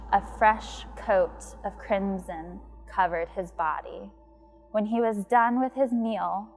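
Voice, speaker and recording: A woman reading a scripted horror story as its narrator. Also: mid-range voice. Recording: faint music behind the voice.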